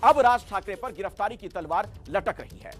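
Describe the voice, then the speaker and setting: High-pitched male news anchor, faint music. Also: lively intonation, fairly quick. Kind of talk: scripted TV news delivery.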